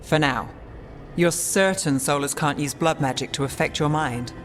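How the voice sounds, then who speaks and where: A woman playing a cool, sceptical detective mage in a fantasy video game, measured, dry and questioning. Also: low-pitched, a little hiss or hum, close to an everyday voice. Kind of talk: video game cutscene dialogue.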